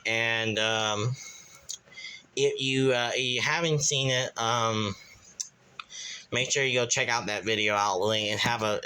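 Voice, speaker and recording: A man from Kansas chats through a home show-and-tell vlog in a bright, forceful voice at a middling pitch. He rambles, restarts his sentences often and keeps slipping in the same verbal tic. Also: smooth, poor audio. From Kansas, USA. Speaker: channel owner, weather radio hobbyist.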